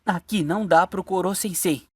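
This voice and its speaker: A woman voicing an earnest, mild-mannered teenage anime boy who reasons through a cartoon debate in a measured, explanatory way. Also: fairly low pitch, darkish tone, slightly rough. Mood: earnest, matter-of-fact. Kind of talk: animated fan debate, dubbed cartoon acting. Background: music.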